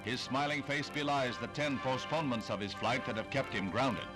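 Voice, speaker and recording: American guy doing old 60s newsreel voiceover, formal and dramatic, big loud music behind him. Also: mid-range voice, normal speed. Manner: dramatic, formal announcer delivery. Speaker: newsreel narrator. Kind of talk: 1960s newsreel narration, scripted.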